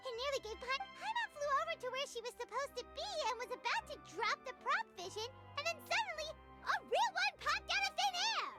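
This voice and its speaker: Tiny, squeaky, excitable fairy sidekick. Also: female voice, fast, few pauses, soft background music, full character voice. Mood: excited. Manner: exclamatory, refers to self in third person. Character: tiny childlike floating fairy companion, chirpy and excitable. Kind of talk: animated game voice acting.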